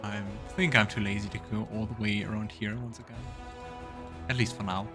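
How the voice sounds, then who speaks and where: Male game streamer, low voice, casual and thinking out loud, music playing behind. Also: flat delivery, brisk. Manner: musing aloud to himself. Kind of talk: casual let's play commentary.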